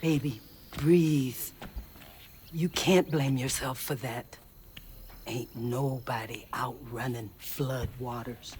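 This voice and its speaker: A woman's voice: extremely low pitch, fairly even intonation, slow pace, a little mellow, a little hiss or hum. An actress with a Southern US accent playing a kindly, motherly older woman in a video game. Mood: comforting. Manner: motherly, soothing. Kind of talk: dramatic game acting.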